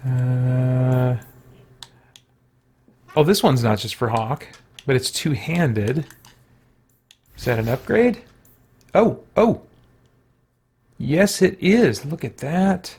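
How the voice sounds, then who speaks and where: A man's voice: medium pitch, animated, drawn-out words, long pauses, a little mellow, breathy edge. A man giving casual livestream game commentary, thinking aloud in short remarks. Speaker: video game streamer.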